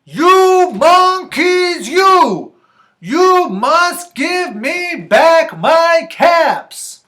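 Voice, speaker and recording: A man playing an exasperated, demanding cap peddler in a picture-book read-aloud, emphatic and loud. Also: extremely high pitch, very animated, very slow, pitched above the performer's own voice, exaggerated voice. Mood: furious. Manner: emphatic, scolding, shouted.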